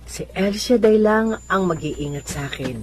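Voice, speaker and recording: A woman's voice: mid-range voice, animated, moderate pace, darkish tone, close to an everyday voice. A woman playing a devout, stubborn elderly mother in a religious radio drama, speaking in a pious, reassuring way. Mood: gentle, reassuring.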